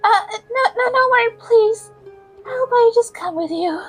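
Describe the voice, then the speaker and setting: A bashful young game heroine , acted by a woman, very high in pitch. She is sweet and hesitant and easily flustered, over quiet background music. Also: normal speed. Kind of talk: amateur fan voice-over of game dialogue.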